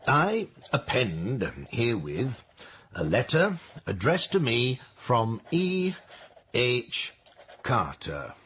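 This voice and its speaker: Slow, stiff Victorian workhouse official. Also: male voice, mid-range voice, fairly bright tone, slight background noise, exaggerated voice. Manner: stiff, officious, measured reading aloud. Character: formal Victorian workhouse official reading out a report. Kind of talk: radio drama acting.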